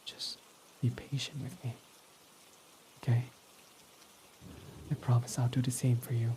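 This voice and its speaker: A young man playing a guarded male villain who confesses his love, speaking softly and often sinking to a whisper in a romantic roleplay. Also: mid-range voice, level tone, unhurried, a little hiss or hum, natural voice. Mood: soft, affectionate. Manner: soft-spoken, often dropping to a whisper. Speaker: ASMR roleplay voice actor.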